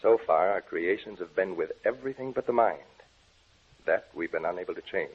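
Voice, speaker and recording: An actor playing a brooding, obsessed scientist with a grave, melodramatic delivery in an old-time radio drama. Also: male voice, sits low, animated, fairly quick, husky. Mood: coldly determined. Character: brooding, obsessed male scientist plotting to kill his beloved. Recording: muffled old radio recording.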